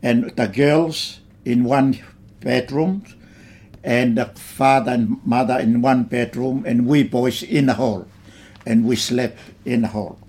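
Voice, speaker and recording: Brief: older man from Tonga, interviewee, unhurried and plain-spoken, mid-pitched voice. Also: fairly even intonation, darkish tone. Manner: slow, plain reminiscing with simple phrasing. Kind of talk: oral history interview.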